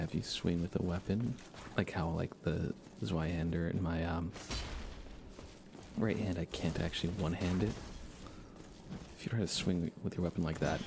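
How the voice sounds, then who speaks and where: Low gravelly guy doing chill soft asmr game stream, murmuring along, noticeable noise behind him. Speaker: livestreamer playing a video game.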